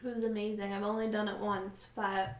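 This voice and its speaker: A woman's voice: mid-range voice, flat delivery, a little fast. A young woman hosting a casual podcast chat at home, laid-back and giggly. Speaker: podcast host. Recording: echoey room, off-mic.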